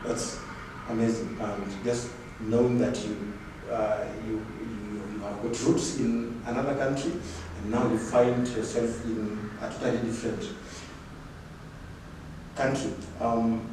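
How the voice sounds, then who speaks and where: A man's voice: lowish voice, flat delivery, slow. A man hosting a podcast interview, often hesitant and repeating his words. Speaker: podcast host. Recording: echoey room, far from mic, noise.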